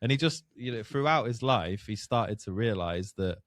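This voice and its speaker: A man's voice: fairly low pitch, lively intonation, normal speed, no echo, clean studio sound. A man co-hosting a podcast, chatting casually and joking, often repeating words as he rambles. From immigrant to Australia. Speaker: podcast co-host. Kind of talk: casual banter.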